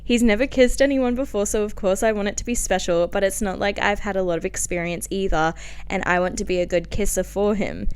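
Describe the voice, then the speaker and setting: A woman in her twenties with an Australian accent, hosting a podcast in a casual, confessional, self-mocking and animated way as she tells stories from her own life. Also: medium pitch, fairly quick, clear, resonant tone. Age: 20s. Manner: animated, self-mocking, giggly asides, frequent "like". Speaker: podcast host. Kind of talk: casual, confessional podcast storytelling and diary reading.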